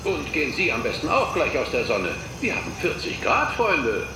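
Chummy holiday-camp announcer, radio drama, loudspeaker, echoey, noisy, animated intonation. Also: male voice, a little high, moderate pace. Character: chummy male campsite announcer. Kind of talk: public address announcement.

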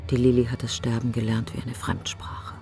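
A middle-aged woman, grieving and bitterly wry, in a radio-play monologue. Her voice is creaky and often sinks to a breathy near-whisper. She questions herself in broken, sardonic phrases over faint music. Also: extremely low pitch, little pitch movement, normal speed, mellow. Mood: grieving reflection. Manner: fragmented, musing, questioning, sardonic. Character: grieving, bitterly wry successful woman thinking aloud while driving. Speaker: actress in a monologue.

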